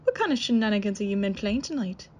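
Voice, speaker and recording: A woman's voice: medium pitch, expressive, fast talker, a little hiss or hum, natural voice. A woman playing a friendly, inquisitive dockworker's wife in a radio play. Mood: playfully suspicious. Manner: chatty, inquisitive.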